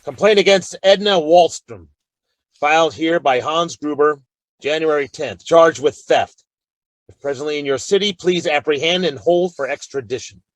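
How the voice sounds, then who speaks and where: An actor playing a calm, authoritative Old West marshal with an American accent and a measured, firm delivery in a radio drama. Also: male voice, on the high side, close to an everyday voice, poor audio. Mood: official. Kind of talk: Western radio drama acting.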